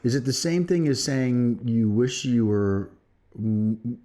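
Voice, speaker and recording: A man's voice: medium pitch, expressive, slow, mellow. A man co-hosting a podcast in casual, unscripted chat, with an irreverent manner and free swearing. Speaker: podcast co-host.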